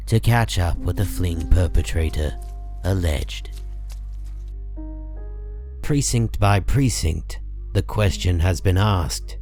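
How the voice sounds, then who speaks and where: A man narrating a comic book story in a dramatic, deliberate storytelling style. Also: deep voice, darkish tone.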